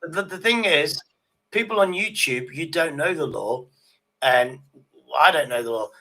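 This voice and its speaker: Man, sixties, bright sharp voice, emphatic, repetitive phrasing, casual livestream chat. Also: fairly high pitch, lively intonation, a little slow, poor audio. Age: 60s.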